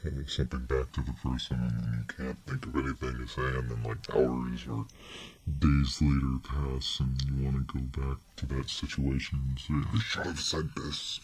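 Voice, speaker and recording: A man in his twenties rambling casually through a vlog update, halting and often trailing off, recorded on a webcam at a desk. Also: very deep voice, flat delivery, breathy edge. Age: 20s. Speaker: vlogger. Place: small bedroom indoors. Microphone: webcam at desk distance. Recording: noisy webcam audio.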